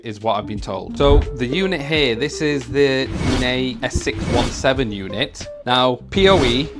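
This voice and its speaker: A man giving a casual hands-on product review, chatty, restarting his sentences as he demonstrates equipment. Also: mid-range voice. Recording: clear background music under voice.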